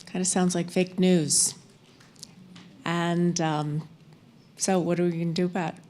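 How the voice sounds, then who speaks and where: A woman speaking as a panelist at a town hall, slow and deliberate with frequent pauses. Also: lowish voice, little pitch movement, warm tone, slight background noise.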